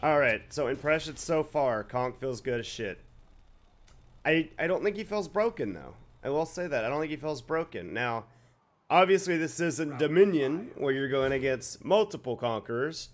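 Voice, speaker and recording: Raspy young man commentating gameplay. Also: a little high. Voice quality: slightly raspy today. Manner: swears freely, excited outbursts and quick reactions. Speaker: gaming YouTuber. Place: home gaming setup, indoors.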